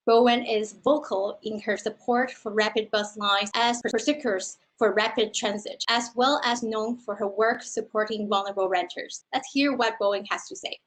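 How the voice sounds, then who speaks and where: A woman moderator reading a formal introduction of a speaker in a measured way. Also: fairly high pitch, poor audio.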